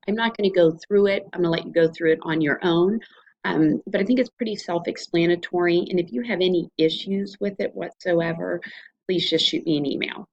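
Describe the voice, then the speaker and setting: A woman's voice: lowish voice, dull audio. A woman with an Ohio Valley American accent, an instructor talking students through an online course in an informal, conversational, explanatory way. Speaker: college instructor. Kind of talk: informal screencast course walkthrough.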